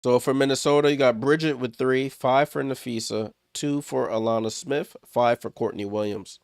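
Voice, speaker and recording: Man, gravelly fry in the voice, dry close sound, casual play-by-play, short clipped calls, slightly sick and congested. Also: medium pitch, steady tone, moderate pace, dry recording. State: under the weather, a bit congested. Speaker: watchalong stream host. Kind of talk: casual live play-by-play commentary.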